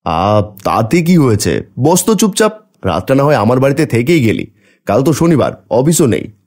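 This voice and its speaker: A man's voice: medium pitch, wildly swooping pitch, moderate pace, darkish tone. A man acting as a young office worker and impatient friend who brushes off worries in a horror cartoon. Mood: casual, reassuring.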